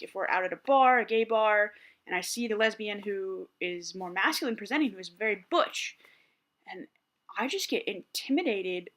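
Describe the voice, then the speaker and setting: Candid higher-voiced man thinking aloud. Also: fairly bright tone. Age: 30s. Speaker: vlogger. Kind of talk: reflective personal monologue.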